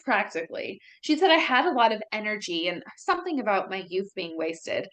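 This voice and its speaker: A woman's voice: medium pitch, sing-song, normal speed, fairly bright tone, rough recording. A woman acting a brash, foul-mouthed young woman in a table read of a horror film script. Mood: boastful, chatty.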